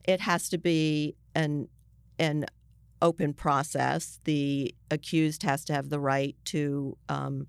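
American woman, smooth lowish voice, emphatic explainer, halting phrasing, dry recording, podcast interview guest. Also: level tone, dry recording. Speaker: journalist being interviewed.

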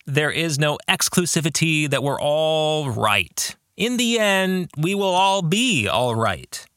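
A man hosting a podcast, giving reflective commentary on a book in measured, emphatic phrases and putting questions to listeners. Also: fairly high pitch, sing-song, bright, forceful tone, no echo, studio quality. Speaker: podcast host and author.

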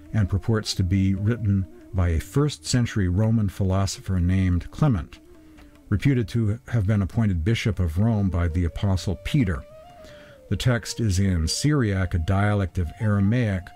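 A man presenting a scripted, reflective religious history lecture, reading quotations in a measured, deliberate manner, phrase by phrase. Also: on the low side, dark tone.

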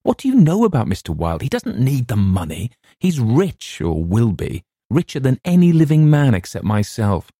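A man reading aloud as a secretive, grandiose young gentleman who turns feverish. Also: mid-range voice, animated, fairly quick, dry recording, studio quality, voice pushed up, natural voice. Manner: shifts from guarded to feverish outbursts.

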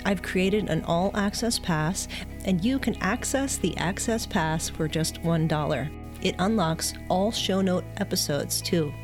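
A woman speaking as a podcast host, addressing a guest or listeners in a clear presenting style. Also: lowish voice, unhurried, over music. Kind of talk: podcast interviewing and scripted announcement.

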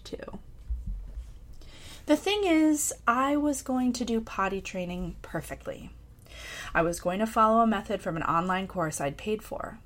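A woman in her thirties with an American accent, a writer reading her own personal essay aloud in a measured, expressive storytelling way. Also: medium pitch.

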